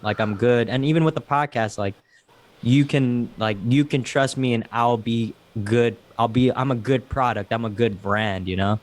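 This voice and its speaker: A man in casual podcast conversation, laid-back, joking and slangy. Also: medium pitch.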